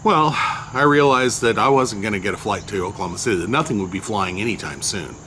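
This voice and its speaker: An older man with a loud voice casually recounting a memory, unhurried and rambling with many fillers. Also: lowish voice, lively intonation, a little hiss or hum. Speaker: storyteller recounting a personal memory. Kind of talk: casual spoken recollection.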